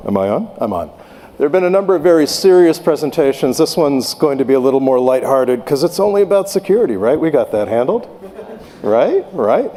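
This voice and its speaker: Man moderating a panel in a reverberant hall. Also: medium pitch. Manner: joking, relaxed. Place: large conference hall.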